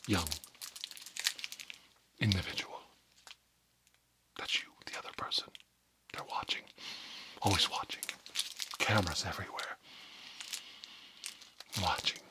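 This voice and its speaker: Guy doing a pompous strict principal, asmr whisper, really low voice, drags words out and repeats them. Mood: stern, accusing. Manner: pompous, halting, drawn-out, repeats words for emphasis. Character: stern, pompous school principal scolding pupils. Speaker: ASMR performer role-playing a school principal. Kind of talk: whispered ASMR role play.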